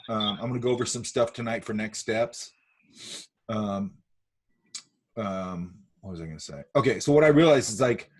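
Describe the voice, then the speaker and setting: A man teaching an online illustration class, rambling with many fillers and restarts, sniffly from a cold, on a video call. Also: medium pitch. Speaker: art instructor.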